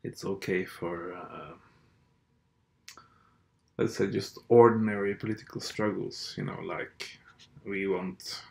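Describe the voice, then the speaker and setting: A man's voice: low voice, steady tone, slow, creaky, pauses often. A man whose first language is Norwegian, hosting a podcast in a hesitant, blunt and sardonic monologue full of fillers and restarts. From Norway. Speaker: podcast host. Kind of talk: casual monologue.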